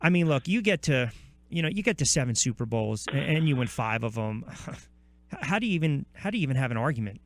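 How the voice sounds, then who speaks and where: A man's voice: medium pitch, big pitch swings, quick pace, dry recording. A man hosting a sports talk radio interview, informal and punchy, piling up examples as he goes.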